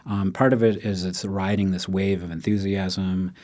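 A man explaining music history in relaxed conversation, often checking in with "right?" and acting out quoted speech. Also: sits low, moderate pace. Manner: animated, acts out quotes in raised voice, frequent "right?".

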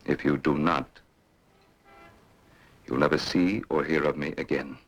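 An actor playing a cold, scheming male employer in an old radio drama, speaking slowly and persuasively. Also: very deep voice, fairly even intonation. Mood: cold, menacing. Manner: slow, measured, coldly persuasive. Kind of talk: 1950s radio drama acting.

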